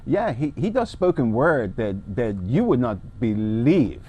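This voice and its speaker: A man hosting a casual interview show, chatty and teasing, with quick affirmations. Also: medium pitch, very animated, warm tone, slightly rough. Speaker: talk show host.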